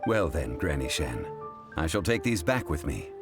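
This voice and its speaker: A man voicing a learned, composed funeral parlor consultant in a game, speaking in a formal, measured way. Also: rumbling low, big pitch swings. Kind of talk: game voice acting. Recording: game cutscene with music.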